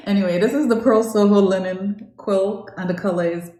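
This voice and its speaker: Animated woman knitter, echoey room. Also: mid-range voice, normal speed. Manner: animated, laughing, repeats herself. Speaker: podcast co-host. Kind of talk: casual chat about knitting. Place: home living room, indoors.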